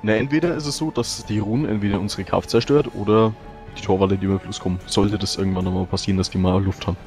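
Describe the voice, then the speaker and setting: Player, male, rapid delivery, low voice, quick restarts, faint music bed. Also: fairly even intonation, a little mellow. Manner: quick, restarts often, agreeable. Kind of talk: tabletop strategy discussion.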